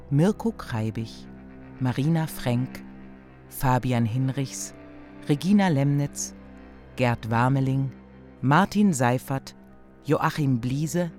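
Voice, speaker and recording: Music plays behind a male announcer reading radio drama credits. He speaks slowly at a medium pitch, in a scripted style. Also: darkish tone.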